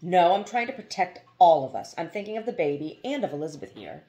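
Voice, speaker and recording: A woman's voice: fairly low pitch, big pitch swings, fast talker, mellow. Woman reading stern, pleading farmer brother. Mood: passionate. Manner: harsh, pleading, emphatic.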